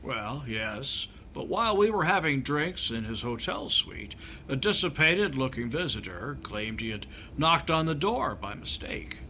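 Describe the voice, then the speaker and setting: A man's voice: medium pitch, big pitch swings, unhurried, bright, forceful tone. A man playing a fussy, naive, folksy American doctor with a hesitant manner in an old-time radio comedy, on a noisy recording. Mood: earnest recounting.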